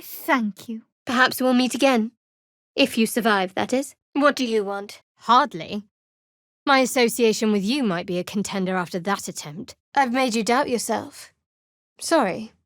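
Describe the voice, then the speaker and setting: A woman playing a guarded, sardonic young cleric of a dark goddess in a fantasy video game, with a dry, measured, wry delivery. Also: on the high side, no echo, lightly acted. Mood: teasing, calm. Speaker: video game companion character. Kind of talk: scripted fantasy game voice acting.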